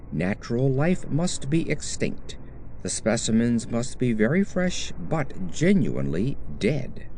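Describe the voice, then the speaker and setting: A man narrates a scripted horror tale in the first person. His voice is dark and mellow, at a medium pitch, and his delivery is measured and literary. Some noise sits behind the reading. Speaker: audiobook narrator.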